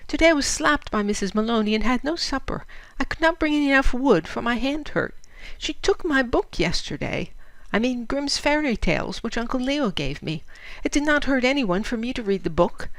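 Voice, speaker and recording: A woman's voice: sits high, animated, normal speed, a bit bright, no echo, voice pushed up. A woman voicing a weary, homesick eleven-year-old servant girl reading out her letter, plaintive and earnest, in a storybook reading. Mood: homesick, pleading.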